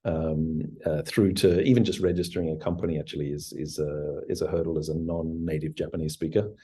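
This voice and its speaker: A man's voice: very deep voice, steady tone, a little fast, mellow, slightly rough. A man in his forties, a company founder answering interview questions in a hesitant, reflective way, full of fillers and restarts. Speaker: startup CEO guest.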